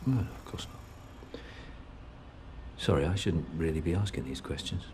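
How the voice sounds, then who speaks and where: A male investigator in a TV crime drama. His voice is deep, his manner wry and casual, courteous even while probing. Faint noise sits behind him. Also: measured pace. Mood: gently probing. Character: wry, courteous British male investigator making small talk. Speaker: police interviewer. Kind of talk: naturalistic TV drama dialogue.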